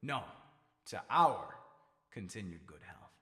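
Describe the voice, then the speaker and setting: A man's voice: on the low side, big pitch swings, slow pace, fairly bright tone, slightly rough, heavily acted. A man playing a pompous, sneering, megalomaniacal dictator in old-time radio horror style. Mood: pompous, menacing. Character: megalomaniacal, murderous male dictator gloating madly.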